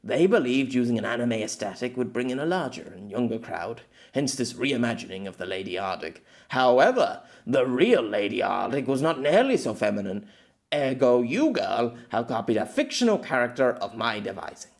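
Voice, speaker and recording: A man's voice: mid-range voice, very animated, normal speed. A man voicing a grumpy, condescending novelist with a pompous, exasperated, sardonic manner. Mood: smug.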